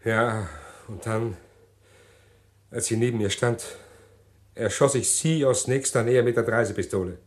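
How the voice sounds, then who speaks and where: An actor playing a middle-aged man who calmly confesses a murder plot in an old radio play, measured and hesitant. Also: mid-range voice, close to an everyday voice. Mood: calm confessing. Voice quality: measured, deliberate, hesitant with pauses. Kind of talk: 1950s radio drama acting.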